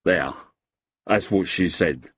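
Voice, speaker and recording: A man voicing a boozy, sentimental lowlife with a husky voice and a blustering, self-pitying way of talking. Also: slow, fairly bright tone, exaggerated voice. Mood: confiding.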